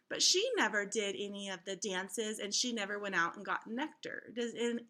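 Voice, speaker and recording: A woman reading a storybook aloud to children in an expressive, instructive way, like a teacher. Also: fairly high pitch.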